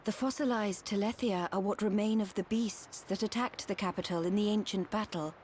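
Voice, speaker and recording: An actress playing a calm, knowing guide in a video game, explaining things in formal, measured phrases. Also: female voice, medium pitch, a little hiss or hum, lightly acted. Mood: grave.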